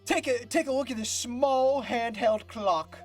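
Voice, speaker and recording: A man's voice: very high-pitched, unhurried. A man playing a young, combative defence lawyer in a live video game fandub, with dramatic courtroom declarations. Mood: triumphant. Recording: faint music behind the voice.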